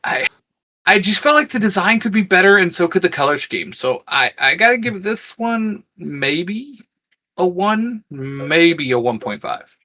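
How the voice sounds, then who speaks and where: A man's voice: sits high, sing-song, a little slow, sharp tone. A man co-hosting a review in a casual, conversational style. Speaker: co-host.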